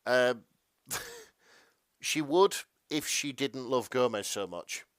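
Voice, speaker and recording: A man chats casually as a podcast co-host, his pitch moving up and down in a lively way. He is a little halting, and the recording is dry and free of room sound. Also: smooth, dry recording. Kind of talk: casual chat.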